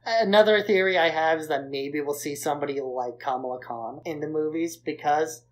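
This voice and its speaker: A man's voice: on the high side, normal speed. A man co-hosting a podcast, giving his opinions on films in casual conversation. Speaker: podcast co-host. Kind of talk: casual film discussion podcast.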